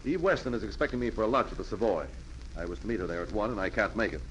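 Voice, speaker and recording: A man's voice: fairly low pitch, expressive, rapid-fire, lightly acted, low-quality recording. An actor playing a formal, stern, moralizing businessman in a 1940s radio drama. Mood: brisk. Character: stuffy, businesslike, moralizing elder brother. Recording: hissy 1940s radio recording.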